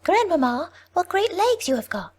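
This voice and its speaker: A woman voicing an innocent little country girl in a storybook reading, high and sing-song. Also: sing-song, normal speed, darkish tone. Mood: wondering.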